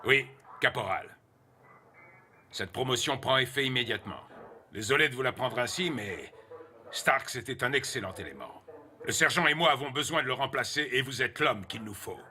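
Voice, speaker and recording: A man's voice: medium pitch, lively intonation, normal speed, sharp tone. A man playing a stern, businesslike army officer in a French-dubbed war film, clipped and authoritative. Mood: firm, businesslike.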